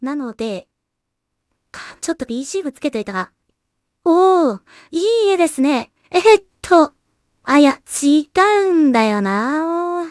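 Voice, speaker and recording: A woman with a slightly high voice talks slowly in a close, dry recording. She thinks aloud casually as she works, murmuring to herself in a hesitant way and adding polite asides for whoever is watching. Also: animated, a little mellow, no echo. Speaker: solo animation creator and streamer.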